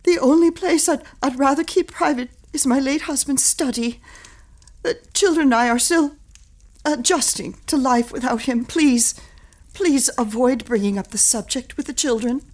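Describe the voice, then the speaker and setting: An actress playing a flustered, fragile widowed mother in a period audio drama, halting and repeating her words in a genteel, anxious way. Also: high voice, darkish tone. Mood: gracious, subdued.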